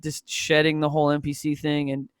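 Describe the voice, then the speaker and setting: A man in his forties from Toronto talks earnestly and thoughtfully, often restarting his sentences. His voice sits a little high and comes across smooth and resonant. The recording is dry and close. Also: completely flat delivery, brisk, dry recording. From Toronto, Canada. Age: 40s. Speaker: music producer guest. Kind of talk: casual podcast chat.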